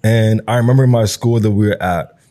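This is a man born in Nigeria, Igbo his first language, in his thirties, speaking as an interview guest. His voice sits low and warm, and he circles his thoughts with plenty of "like" and "you know.". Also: fairly quick. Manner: reflective, loose, full of "like" and "you know". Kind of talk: conversational interview.

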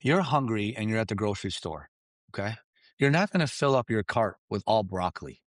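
A man whose first language is Farsi, giving emphatic, animated business coaching and often checking in with "right?" and "you understand?". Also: lowish voice, fairly quick, no room sound, clean studio sound. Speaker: guest expert. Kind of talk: energetic business coaching talk.

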